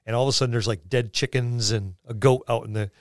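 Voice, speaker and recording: A man's voice: medium pitch, fairly even intonation, quick pace, no echo, clean studio sound. A man co-hosting a podcast, talking casually about tabletop gaming. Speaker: podcast co-host.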